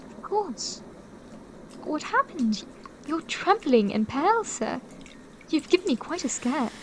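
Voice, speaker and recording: A woman playing a kind, devoted young gentlewoman in a period audio drama, speaking with earnest, polite formality. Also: high voice, sing-song, slow pace, a little hiss or hum, heavily acted, poor audio. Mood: alarmed concern. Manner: polite, formal, earnest old-fashioned speech.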